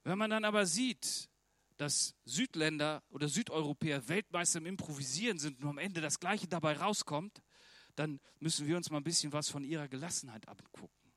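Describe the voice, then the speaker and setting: A man's voice: fairly high pitch, fairly quick, a bit bright, no echo. A German man preaching a sermon in an earnest, conversational way, often turning to ask the listeners direct questions.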